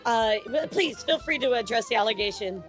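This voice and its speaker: A woman interviewer with a fairly high voice who hesitates and stacks fillers as she asks her questions. Soft music plays faintly beneath her. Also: animated, brisk. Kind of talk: casual interview.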